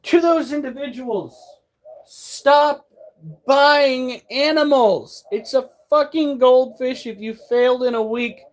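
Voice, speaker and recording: A man's voice: extremely high pitch, takes it slowly, poor audio. A man in his thirties hosting a pet channel, talking casually and bluntly, breaking into profane rants. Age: 30s. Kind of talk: casual monologue.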